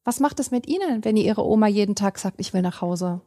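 A woman interviewer asking calm, attentive questions. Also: mid-range voice, a little fast. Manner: calm, attentive, brief prompts.

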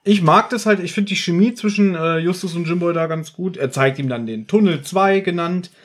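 A man hosting a podcast in casual, colloquial talk, recapping things in an animated way. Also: high-pitched, a little fast. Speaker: podcast host.

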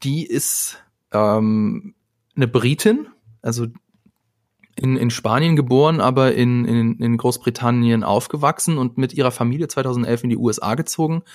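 A man's voice: mid-range voice, normal speed, darkish tone, dry recording, studio quality. A man co-hosting a podcast in casual chat, talkative and steering the talk with frequent fillers. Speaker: podcast co-host.

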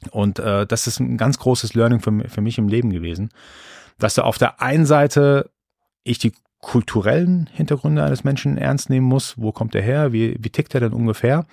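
A man's voice: medium pitch, brisk, gravelly, a little mellow, no echo, studio quality. A man born in Iran, hosting a solo podcast in a casual, rambling monologue with frequent restarts. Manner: chatty, rambling with restarts and fillers. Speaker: podcast host.

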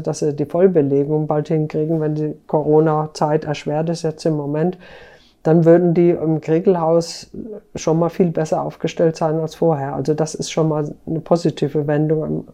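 A woman speaking as an interviewed journalist, explaining things conversationally and sometimes halting mid-sentence. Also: low-pitched, dark tone.

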